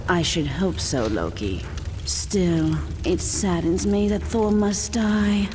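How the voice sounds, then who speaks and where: A woman's voice: on the low side, animated, slow pace. A woman playing a haughty, wistful enchantress villain in a video game, speaking theatrically. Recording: long reverb, clear background noise.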